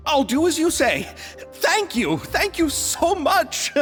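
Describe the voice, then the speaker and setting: Flustered, excitable film director. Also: male voice, slow, heavily acted. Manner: flustered, emotional, leaps high in pitch. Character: nervous, effusive male film director. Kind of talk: game dialogue. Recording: reverberant with music behind.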